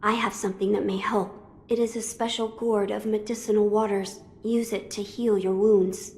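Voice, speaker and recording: A woman voicing a calm, polite young noble boy in a video game cutscene, speaking formally with gentle authority. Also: mid-range voice, long reverb tail, lightly acted. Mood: calm, concerned. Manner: formal, measured, gently commanding. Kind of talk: English-dubbed video game cutscene acting.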